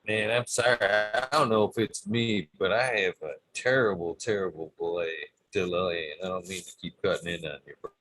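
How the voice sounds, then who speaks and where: A man's voice: deep voice, steady tone, moderate pace, few pauses, fairly bright tone. A man hosting a casual interview over a video call, friendly and apologetic, calling his guest "my friend.". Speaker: host.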